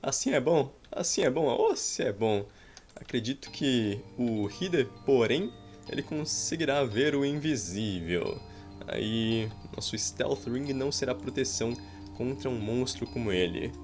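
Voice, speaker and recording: A man's voice: medium pitch, expressive, unhurried, darkish tone. A Brazilian man in his thirties giving jokey commentary over his own gameplay from a small room at home, exclaiming at what happens on screen. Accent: Brazilian Portuguese. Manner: jokey, exclaims and sighs at game events. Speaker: gameplay streamer. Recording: faint game music behind.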